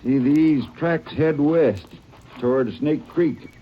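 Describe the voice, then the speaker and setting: An actor playing a gruff small-town male sheriff with a folksy drawl and dry humor, in an old radio drama recording. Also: a little high, slow pace, muffled recording, a little hiss or hum. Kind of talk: 1950s radio drama acting.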